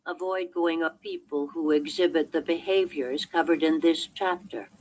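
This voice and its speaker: A woman's voice: low-pitched, normal speed, a little mellow. A woman reading as an audiobook narrator in a scripted narration style, heard as a replayed recording. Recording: noisy replayed audiobook playback.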